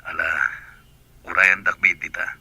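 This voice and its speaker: A man's voice: low voice, level tone, a little slow, bright, forceful tone, slightly rough, dry recording, heavily acted. A man playing a persistent, flirtatious suitor in a radio drama, with an Ilocano accent. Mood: coaxing.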